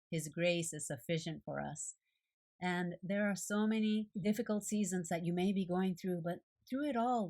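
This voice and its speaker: A middle-aged woman, a native Japanese speaker from Japan, giving a calm, gentle devotional voice-over as she makes an art journal. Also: on the low side. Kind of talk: reflective devotional voice-over while crafting. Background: faint guitar music.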